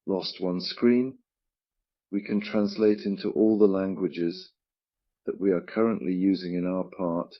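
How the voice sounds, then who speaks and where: A man's voice: low voice, steady tone, measured pace, no echo, muffled recording. A man whose first language is Russian, presenting a game project in casual, enthusiastic livestream chat.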